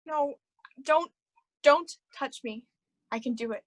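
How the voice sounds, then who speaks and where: A woman's voice: high-pitched, slow. An actress in a staged play reading, playing a shaken, reluctant woman who speaks hesitantly and pleads anxiously. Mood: distressed.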